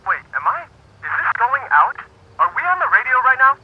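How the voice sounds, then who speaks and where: High-voiced, awkward young man on the phone. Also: very animated, moderate pace, husky, heavily acted. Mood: hesitant, puzzled. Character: awkward, puzzled young man calling a radio show. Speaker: caller. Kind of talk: naturalistic video game voice acting. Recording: dull phone line, faint noise.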